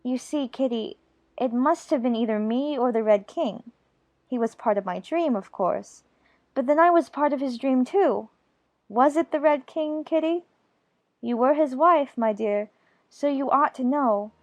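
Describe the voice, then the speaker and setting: A woman's voice: sits high, a little slow, no echo. A woman playing a chatty, imaginative little girl in an audiobook, fond and bossy, prattling on in playful scolding. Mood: chatty, musing. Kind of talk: dramatized audiobook character acting.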